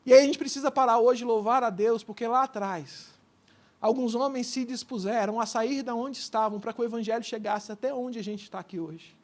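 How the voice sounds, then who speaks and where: A Brazilian man, a pastor, preaching a sermon in a church and telling stories, dropping to a hush and then rising loud. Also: very high-pitched, brisk. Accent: Brazilian Portuguese. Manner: storytelling preacher, swings between hushed and loud. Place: church sanctuary.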